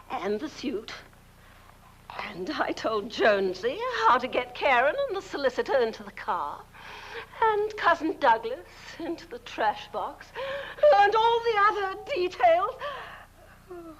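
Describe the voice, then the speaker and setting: An actress playing a scheming, murderous woman in a campy horror-comedy film, cooing sweetly and mockingly, shifting between hushed and shrill. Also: very animated, a little slow, a little hiss or hum, poor audio. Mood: mocking, gloating.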